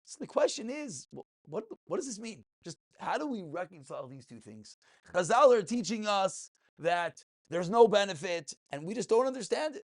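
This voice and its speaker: A man teaches a religious class as a rabbi. His pitch swings widely as he stresses key words and repeats them for emphasis. He speaks informally and keeps putting questions to his listeners. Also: big pitch swings, normal speed. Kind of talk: informal Talmud and ethics lecture.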